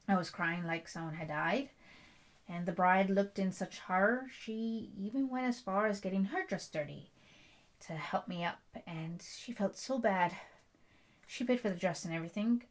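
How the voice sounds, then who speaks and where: Female, headstrong fiancée for ASMR romance roleplay acted by a woman; mid-pitched, blunt, rambling storyteller. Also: normal speed, close to an everyday voice. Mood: wry recollection. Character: headstrong, stubborn bride-to-be, werewolf prince's future wife. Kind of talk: intimate ASMR roleplay dialogue.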